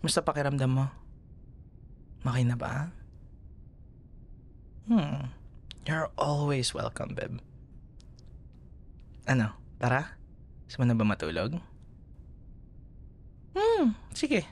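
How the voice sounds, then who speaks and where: A man with a Filipino accent playing a gentle, protective young boyfriend in an intimate ASMR roleplay, soothing and murmuring tenderly. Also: medium pitch, wildly swooping pitch, takes it slowly, creaky, long pauses, full character voice. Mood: tender, sleepy comfort.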